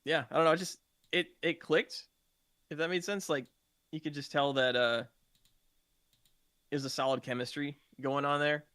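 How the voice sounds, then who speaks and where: Bright-voiced man, higher pitched, telling stories casually. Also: fairly even intonation, moderate pace, pauses often, clear, resonant tone, no echo, clean studio sound. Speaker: podcast participant, game roleplay streamer. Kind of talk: casual storytelling chat.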